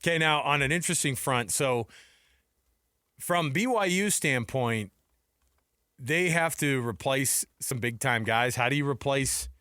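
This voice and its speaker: A man's voice: medium pitch, unhurried, sharp tone, clean tone, no room sound. A man with an American accent and a mid-range voice co-hosts a sports talk show. He speaks casually and with firm opinions, using slang and throwing out questions. The sound is dry and close. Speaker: sports talk radio co-host.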